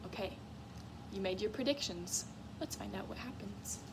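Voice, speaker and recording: A woman teacher giving a read-aloud lesson to young children in an expressive, encouraging way, often putting questions to her listeners. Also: mid-range voice, normal speed, very noisy. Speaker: music teacher. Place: school classroom, in a reading corner.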